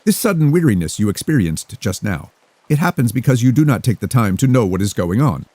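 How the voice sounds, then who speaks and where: A man voicing a calm, mysterious young woman who speaks formally and rhythmically. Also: mid-range voice, no room sound. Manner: formal, rhythmic, unhurried delivery.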